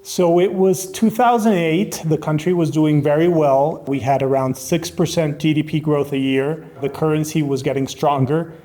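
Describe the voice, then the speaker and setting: A man in his forties from Colombia, a corporate attorney, answering interview questions in a measured, businesslike way indoors in his apartment. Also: fairly high pitch, steady tone, warm tone, soft background music.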